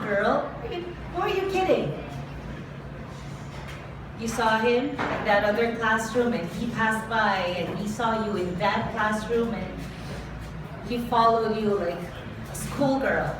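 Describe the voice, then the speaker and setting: Slow, dramatic woman poet in a roomy hall. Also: on the high side. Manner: dramatic, intimate delivery with repeated refrains. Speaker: spoken word performer. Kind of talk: live spoken word poetry. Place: live performance venue. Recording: roomy echo, far from mic, ambient noise.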